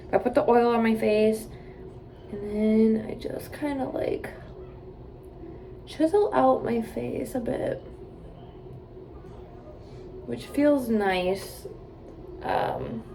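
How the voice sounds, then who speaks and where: A woman's voice: medium pitch, mellow, slight background noise, poor audio. Chatty woman in her twenties. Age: 20s. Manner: chatty, rambling, lots of "like" and "um". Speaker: vlogger. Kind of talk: casual chat to camera. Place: hotel room and bathroom.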